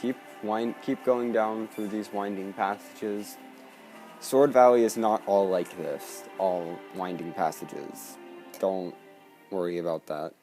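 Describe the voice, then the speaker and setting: A man narrates his playthrough in a casual, conversational way. His voice is fairly low, with faint game music underneath. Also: steady tone, slow pace, mellow. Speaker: let's play commentator. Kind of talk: casual gameplay commentary.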